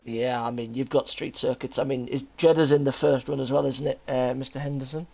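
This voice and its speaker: A man's voice: medium pitch, fairly even intonation, brisk, vocal fry, a little mellow. A young man hosting post-race interviews on a racing league stream, chatty and jokey. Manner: chatty, laughs a lot, jokey. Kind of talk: casual live-stream interview chat.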